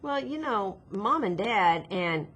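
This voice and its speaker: A woman's voice: medium pitch, lively intonation, takes it slowly, fairly bright tone, slightly rough, pitched above the performer's own voice, exaggerated voice. A woman voicing a chatty, upbeat, teasing boy with a caring side in a storybook reading. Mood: earnest.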